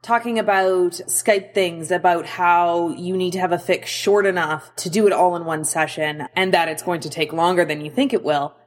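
Low-voiced woman in a reverberant room. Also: steady tone, moderate pace, few pauses. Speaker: listener leaving recorded feedback. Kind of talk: casual informal spoken feedback.

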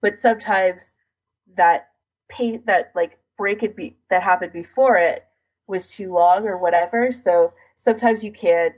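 A woman with a husky, slightly rough voice talks casually as a podcast co-host. Her delivery is rambling and conversational, peppered with "like" as she restarts her thoughts. Her pitch sits in the middle of the female range. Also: a little slow, a little mellow, rough recording. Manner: rambling, filler-heavy delivery with frequent "like". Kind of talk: casual chat about audio editing.

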